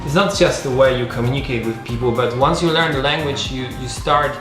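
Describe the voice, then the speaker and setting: A man's voice: mid-range voice, a little fast, over music. Earnest male host, echoey room. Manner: earnest, non-native English with small grammar slips. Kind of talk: casual conversational interview.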